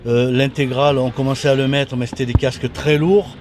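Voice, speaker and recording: A man in his sixties with a mild Toulon accent, a former racer recalling his past in a halting, restart-filled way. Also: mid-range voice, flat delivery, darkish tone, a little hiss or hum. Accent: mild Toulon southern French. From Toulon, southern France. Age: 60s. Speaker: former motorcycle racer, guest. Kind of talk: casual interview reminiscence. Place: at a racing circuit.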